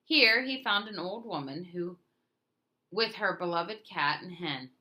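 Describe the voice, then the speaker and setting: A woman reading a children's storybook aloud in an expressive, emphatic way. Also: fairly low pitch, unhurried. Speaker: storybook reader.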